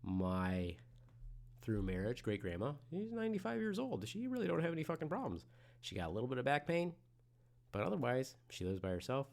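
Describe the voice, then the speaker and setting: A man in his forties who hosts a podcast and works in medicine, talking frankly and with a lot of swearing, swinging between loud outbursts and quiet musing. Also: mid-range voice, very animated, brisk, creaky. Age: 40s. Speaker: podcast host in the medical field. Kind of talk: casual solo podcast monologue.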